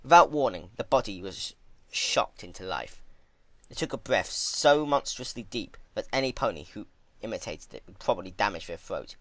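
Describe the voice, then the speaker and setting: Animated, forceful male story narrator. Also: fairly low pitch, normal speed, no echo. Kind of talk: dramatic fan fiction reading.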